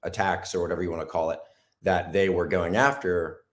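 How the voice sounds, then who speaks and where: A man with a hoarse, raspy voice, talking casually as an interview guest with many ums and restarts. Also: sits low, steady tone. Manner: halting, lots of ums and restarts.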